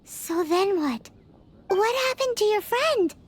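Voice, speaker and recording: A woman voicing a tiny childlike female fairy companion in a video game, excitable and indignant, with a squeaky voice. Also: slow, full character voice. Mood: impatient, exasperated. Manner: refers to self in third person, exclaiming. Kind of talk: video game character acting. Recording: music behind.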